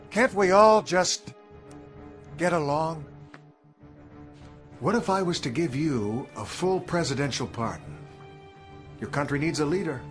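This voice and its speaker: Slow, emphatic American president. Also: male voice, a little high. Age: middle-aged. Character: middle-aged male American president, pleading yet stern. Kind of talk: melodramatic game cutscene acting.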